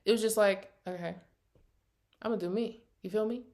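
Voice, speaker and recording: An American woman in her early twenties chatting casually to her vlog viewers in an animated, sassy, slangy storytelling way. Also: mid-range voice, normal speed. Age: early 20s. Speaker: vlogger.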